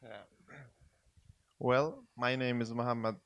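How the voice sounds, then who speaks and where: Slow, halting man. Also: medium pitch, little pitch movement, a bit bright, no room sound, lo-fi sound. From Afghanistan. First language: non-native English speaker. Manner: halting, careful phrasing. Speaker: panelist, integration project worker. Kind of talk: informal self-introduction on a panel.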